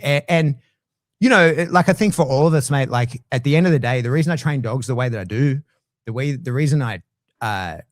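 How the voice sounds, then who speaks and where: A middle-aged Australian man talking casually as a guest, blunt and swearing freely, with lively swings in his voice. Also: mid-range voice, dry recording, studio quality. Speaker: guest dog trainer. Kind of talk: casual conversation.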